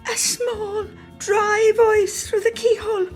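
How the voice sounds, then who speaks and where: Slow, expressive woman storyteller over music. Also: extremely high pitch. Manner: measured, expressive, lingering on phrases. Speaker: dramatic reader. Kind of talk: dramatic literary storytelling, scripted reading.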